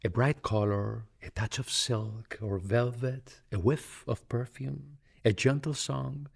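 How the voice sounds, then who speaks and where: A man's voice: mid-range voice, fairly even intonation, slow pace, vocal fry, husky, heavily acted. An actor playing a vain, flirtatious, campy male boss in a radio drama, theatrical and arch. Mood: arch, grandiose.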